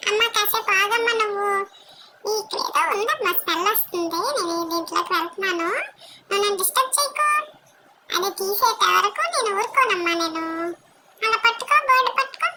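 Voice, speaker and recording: A woman voicing a cheeky, sulky little female pet dog in a squeaky childlike baby voice, talking back sassily. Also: animated, fairly quick. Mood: bossy. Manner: sassy, whiny, talks back. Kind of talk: pet voice-over for a home vlog. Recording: loud background noise.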